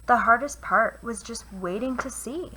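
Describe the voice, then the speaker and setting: Woman, children's read-aloud, bright forceful tone, expressive phrasing, a little background noise. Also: a little high, measured pace. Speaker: teacher reading a picture book aloud. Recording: noisy home recording.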